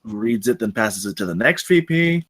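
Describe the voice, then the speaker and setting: A man narrates a film script at a table read. His voice is on the low side. He reads out scene headings and stage directions as the narrator. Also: expressive, normal speed, fairly bright tone.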